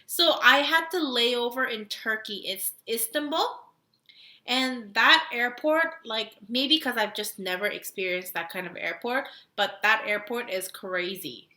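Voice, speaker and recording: A woman's voice: fairly high pitch, measured pace, sharp tone, echoey room. A young woman from Korea telling stories in a casual podcast chat, full of "like" fillers and laughter. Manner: chatty storytelling with frequent laughs and "like" fillers. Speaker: podcast co-host.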